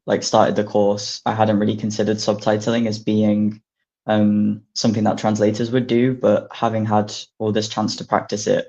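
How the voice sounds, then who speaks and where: Male student with a low, gravelly, very flat voice; filler-heavy speech; dull lo-fi sound. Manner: soft-spoken and filler-heavy. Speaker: master's student in translation. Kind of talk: student panel conversation. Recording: dull lo-fi audio.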